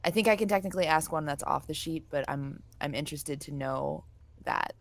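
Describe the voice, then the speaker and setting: A woman's voice: deep voice, fairly quick, gravelly, dry recording. A woman chatting casually as a player at a tabletop role-playing game.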